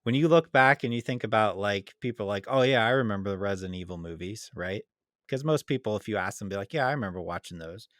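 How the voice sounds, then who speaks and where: A man's voice: on the low side, fast talker, dry recording, studio quality. A man co-hosting a podcast in casual debate, easygoing as he prompts his partner. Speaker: podcast co-host.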